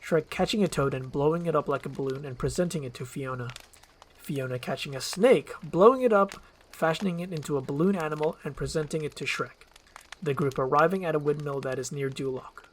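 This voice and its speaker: A narrator reads stage directions from a script in an ASMR storytelling style. The pitch sits in the middle. A faint fireplace ambience runs behind the voice. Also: a little fast, slight background noise. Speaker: narrator reading a screenplay.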